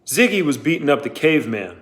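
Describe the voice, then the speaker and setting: A man's voice: on the high side, very animated, brisk, fairly bright tone. Man playing a plain blunt teen boy.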